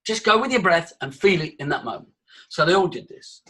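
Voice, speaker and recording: Male voice coach, husky tone, fairly high-pitched, demonstrative and breathy. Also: expressive, brisk, bright, forceful tone. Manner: breathy, demonstrative, leads vocal exercises. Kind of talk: live workshop teaching.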